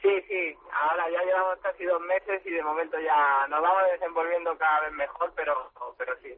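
A man's voice: fairly high pitch, brisk, a little hiss or hum. Young man on a tinny phone line. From Spain. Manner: modest, relaxed, with frequent restarts. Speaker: guest futsal player. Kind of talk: phone interview.

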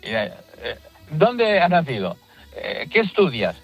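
A man's voice: fairly high pitch, big pitch swings, unhurried, breathy edge, muffled recording, faint music behind. Easygoing male radio caller. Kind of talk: radio phone-in anecdote.

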